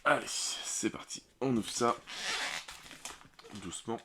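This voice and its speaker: A man with a cold, hosting a board game unboxing in a relaxed, hesitant way with many fillers. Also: medium pitch, slow, heavy background noise. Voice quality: slightly off, congested voice. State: has a cold. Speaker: board game channel host. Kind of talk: casual unboxing commentary.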